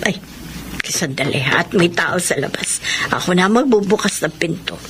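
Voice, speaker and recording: Lively, fussing old grandmother. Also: lowish voice, moderate pace. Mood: concerned. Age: older. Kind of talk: radio drama acting. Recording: noisy radio drama recording.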